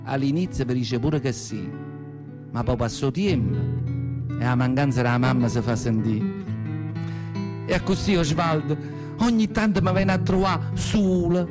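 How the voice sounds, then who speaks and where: An old Southern Italian mother in a high voice, telling her memories in a radio play over music. She has a Neapolitan accent and rambles tenderly and devoutly, confiding in listeners with rhetorical asides. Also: unhurried. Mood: tender, resigned. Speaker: actor in a radio monologue. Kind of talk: theatrical radio monologue storytelling.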